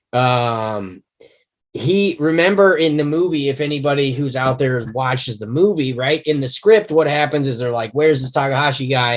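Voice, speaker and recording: A man with an ordinary middle-pitched voice, musing aloud in a curious, thoughtful way. He fills his pauses with ums. He is joining the chat over a video call. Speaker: table read participant. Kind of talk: casual panel discussion.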